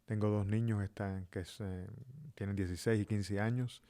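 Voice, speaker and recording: Low-voiced middle-aged Cuban pastor. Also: male voice, steady tone, moderate pace, warm tone. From Cuba. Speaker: pastor, podcast guest. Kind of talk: conversational interview answers.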